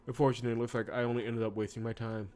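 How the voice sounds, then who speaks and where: A man's voice: mid-range voice, flat delivery, very fast, darkish tone, natural voice. Guarded private detective from a cyberpunk game, read by a man, casual and wry, music underneath. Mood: reluctant, wry. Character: guarded but sympathetic cyberpunk fixer and detective.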